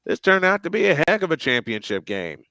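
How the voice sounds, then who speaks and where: Male play-by-play caller, chatty between plays, crisp and dry. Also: fairly high pitch, big pitch swings, a little fast, bright, forceful tone, no echo. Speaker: play-by-play commentator. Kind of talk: live football commentary.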